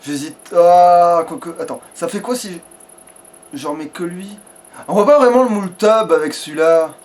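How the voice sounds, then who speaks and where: Guy doing a let's play, high voice, sweary and jokey, bit far from the mic. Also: moderate pace, darkish tone. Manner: crude, swearing, joking. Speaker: let's player. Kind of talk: casual gaming commentary with a friend.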